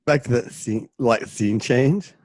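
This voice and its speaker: A man's voice: medium pitch, slow pace, darkish tone, slight background noise. A man narrating a story in a casual, laid-back slacker way, improvising with lots of filler words. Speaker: narrator of an online radio novela. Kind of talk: improvised casual retelling of a film script.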